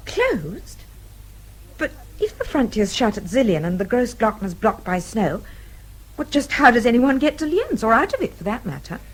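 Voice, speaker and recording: Lively, spirited Englishwoman. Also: on the high side, normal speed, slightly rough, poor audio. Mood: curious, polite. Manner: polite, direct, questioning. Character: spirited, self-assured English young woman, diplomat's sister. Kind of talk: British radio drama acting.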